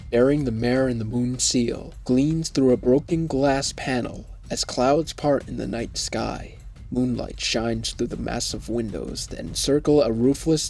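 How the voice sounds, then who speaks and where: A man narrating a scripted fantasy story in a measured, descriptive way. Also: medium pitch.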